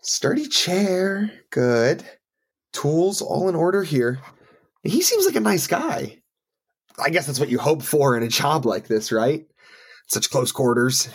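A male actor plays an eager, talkative new colleague in an audio drama. His voice is husky, and he comes across as polite and apologetic in his chatter. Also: a little high, sing-song, takes it slowly, full character voice. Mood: chatty, awkward. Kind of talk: audio play acting.

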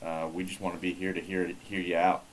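A man's voice: sits low, little pitch movement, fairly quick, slight background noise, rough recording. A man hosting a podcast interview, talking in a laid-back, rambling way full of fillers. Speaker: podcast host. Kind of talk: casual interview chat.

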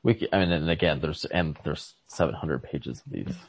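A man in a casual, analytical literary conversation as a podcast host, often halting to search for words. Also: very deep voice, slightly rough, no room sound. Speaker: podcast host discussing a short story. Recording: lo-fi podcast recording.

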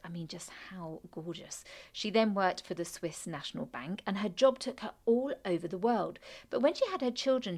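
A woman with a British accent hosting a podcast interview, effusive and enthusiastic, often calling things lovely. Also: medium pitch. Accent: British English. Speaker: podcast host.

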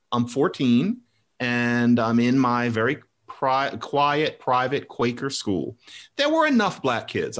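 A middle-aged man talking through ideas and telling a personal story in a conversational discussion, voice rising sharply when he makes a point. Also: mid-range voice. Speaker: commentator.

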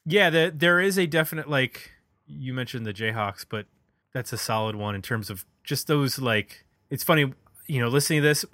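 Bright, dry-sounding man chatting casually. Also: mid-range voice, normal speed, no echo. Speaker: music podcast host. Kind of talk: casual album discussion.

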